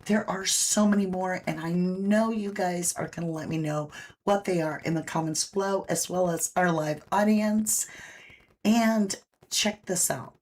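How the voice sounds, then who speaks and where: A woman's voice: lowish voice, fairly even intonation, unhurried, darkish tone. A woman hosting a true crime livestream, chatting casually and greeting viewers by name; she says she has a big voice. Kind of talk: casual live-stream commentary. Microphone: own microphone, pulled back after being too loud.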